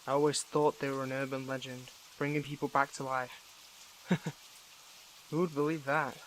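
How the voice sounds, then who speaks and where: A man playing a weary, defiant young superhero in a dramatic audio roleplay, speaking haltingly and broodingly to the listener. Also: medium pitch, flat delivery, slight background noise, natural voice, rough recording. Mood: bitter, reflective.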